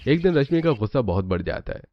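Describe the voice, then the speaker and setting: A man's voice: mid-range voice, sing-song, quick pace, dull audio. A man reading scripted narration for a cartoon family story.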